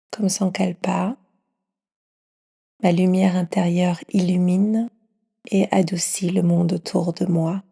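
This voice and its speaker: A woman leading a guided yoga nidra meditation, speaking softly and soothingly and often trailing into a breathy whisper. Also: fairly low pitch. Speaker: yoga nidra teacher guiding a session.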